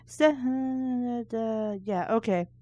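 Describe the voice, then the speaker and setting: A woman's voice: fairly high pitch, extremely slow, warm tone, no room sound, muffled recording. A woman casually reading a book aloud, with sarcastic, mildly crude asides and stumbles she corrects as she goes. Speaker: reader and reviewer of children's books.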